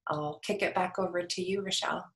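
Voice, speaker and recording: A woman's voice: fairly low pitch, fairly even intonation, a little fast, fairly bright tone. A woman casually moderating an online event as co-host from a room at home.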